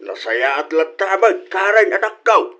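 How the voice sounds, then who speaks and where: A man's voice: high-pitched, very animated, unhurried, pushed and bright, heavily acted. A man playing an easygoing, teasing older husband and father in a comedy radio drama, with an Ilocano accent.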